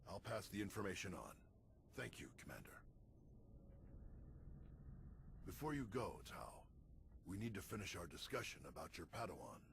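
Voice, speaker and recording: Deep, gravelly old Jedi master. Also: male voice, sing-song, noisy background, full character voice. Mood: calm, practical. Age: older. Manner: measured, philosophical mentoring. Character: elderly wise male Jedi master, patient and solemn. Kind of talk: video game cutscene acting.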